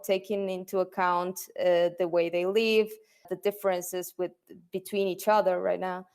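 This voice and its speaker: A woman's voice: fairly low pitch, darkish tone, clean tone. A woman whose first language is Spanish, answering interview questions as a UX designer, often repeating words as she searches for the right phrasing. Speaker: UX designer guest. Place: lives in Germany.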